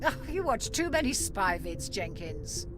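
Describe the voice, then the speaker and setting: Sensible, measured military ship's doctor. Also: female voice, mid-range voice, very animated. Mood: reproving. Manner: measured, reproving, matter-of-fact. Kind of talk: video game dialogue acting. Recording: loud music behind the voice. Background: music.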